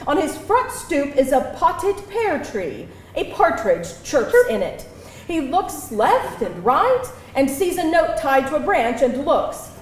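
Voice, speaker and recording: A woman reading stage directions aloud as narrator at a live table read, expressive and theatrical, in a roomy venue. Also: sits high, slow pace. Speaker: screenplay narrator. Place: live event venue with audience. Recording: roomy live venue, faint background noise.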